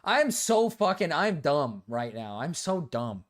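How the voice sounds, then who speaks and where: A young man streaming casually, excitable and swearing, swinging between loud indignant outbursts and low mumbled asides. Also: takes it slowly. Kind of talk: casual reaction stream chat.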